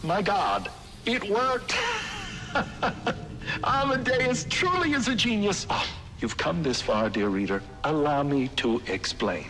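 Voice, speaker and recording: An actor playing a middle-aged Victorian gentleman, a scheming city founder, narrating his journal in formal, dramatic, archaic diction for a video game. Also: a little high, big pitch swings, slow, pauses often, slight background noise, heavily acted, rough recording. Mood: gleeful, triumphant.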